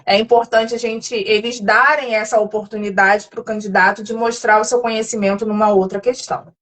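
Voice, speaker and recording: A young adult woman from Brazil hosting a live stream, talking in a quick, chatty storytelling manner. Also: mid-range voice, level tone, a bit bright. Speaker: host nurse.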